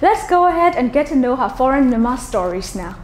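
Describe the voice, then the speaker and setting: High-voiced woman interviewer in an echoey room. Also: sing-song, a little fast. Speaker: interviewer, host. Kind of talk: scripted introduction and prepared interview questions. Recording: roomy echo with background noise.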